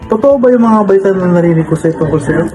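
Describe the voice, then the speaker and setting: Man playing a high-voiced, protective schoolteacher. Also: expressive, quick pace, a little mellow, faint music behind, low-quality recording. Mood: concerned. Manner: earnest, formal. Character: protective, reassuring male schoolteacher. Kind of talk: amateur radio drama acting.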